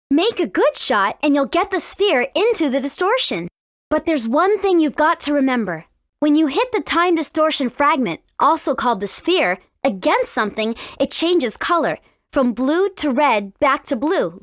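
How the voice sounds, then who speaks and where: A woman voicing a brave, friendly teenage warrior princess in a video game, with an earnest, clearly spoken manner. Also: sits high, very animated, normal speed, fairly bright tone, no room sound, clean studio sound. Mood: explaining, earnest. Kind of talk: scripted video game character dialogue.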